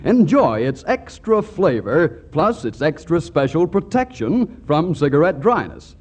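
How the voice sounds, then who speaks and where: A man's voice: on the high side, very animated, unhurried, darkish tone. A man working as a polished, emphatic commercial announcer in scripted 1940s radio advertising. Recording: muffled 1940s radio, faint music.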